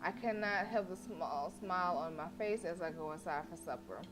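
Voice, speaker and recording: A woman's voice: fairly low pitch, animated, normal speed. A woman livestreaming a visual novel, reading it aloud with playful, slangy asides. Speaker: video game streamer. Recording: music playing behind the voice. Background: game music.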